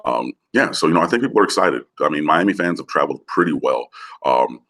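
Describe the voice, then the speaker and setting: A man with an extremely low, gravelly voice, hoarse from shouting, talks about football in a loose, rambling way. He leans on fillers and restarts, and his voice comes through a phone line. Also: animated, fast talker. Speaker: guest analyst. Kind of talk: casual sports chat over the phone.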